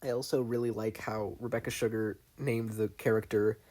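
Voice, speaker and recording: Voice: mid-range voice, little pitch movement, normal speed, a little mellow. A narrator reading fan fiction aloud in a plain storytelling manner. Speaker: podfic reader and narrator.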